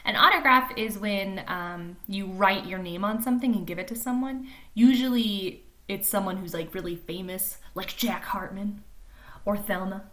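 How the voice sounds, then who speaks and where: A woman reading a picture book aloud to young children in an animated way, pausing to explain and ask questions like a teacher. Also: mid-range voice. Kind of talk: expressive storybook read-aloud with chatty explanations to children.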